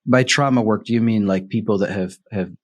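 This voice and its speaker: Brief: young male host, inquisitive, a bit husky. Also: fairly low pitch, a little fast. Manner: curious, asks questions, restarts phrases. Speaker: podcast host. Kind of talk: casual podcast interview.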